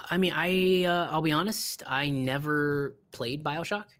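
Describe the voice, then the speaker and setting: A man co-hosting a podcast, chatting casually in a measured, low-key way as he thinks aloud. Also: sharp tone, no room sound, studio quality. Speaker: podcast co-host. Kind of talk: casual conversational film and game chat.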